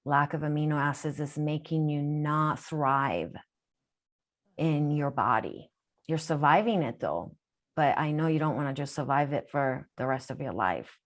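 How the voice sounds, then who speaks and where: Low-voiced woman. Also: unhurried. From Japan. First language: Japanese. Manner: explanatory, repeats and restarts phrases. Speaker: healer answering a caller. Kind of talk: live group call, casual guidance.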